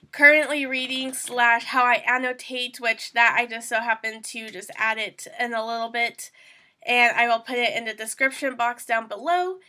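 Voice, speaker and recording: A woman's voice: fairly high pitch, sharp tone. A young woman with a stuffy, congested voice from a cold, chatting casually to her channel about her reading plans, rambling with lots of fillers. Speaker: booktuber host. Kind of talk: casual chat to camera.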